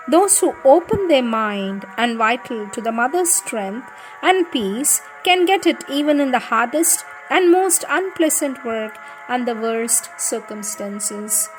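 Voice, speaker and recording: Woman w a slightly high voice reading devotional spiritual stuff out loud, deliberate, faint music behind her. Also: rough recording. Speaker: reader of spiritual teachings. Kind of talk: devotional reading aloud of letters, questions and answers. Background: music in the background.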